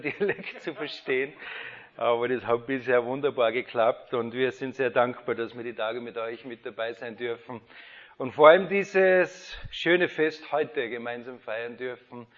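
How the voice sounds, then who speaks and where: A man preaching an Easter sermon, reading scripture and building to fervent rhetorical questions. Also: mid-range voice. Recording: dull lo-fi sound.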